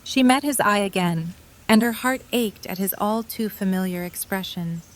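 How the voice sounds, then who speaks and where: A woman's voice: mid-range voice, normal speed. A woman reading scripted story narration for an audio drama.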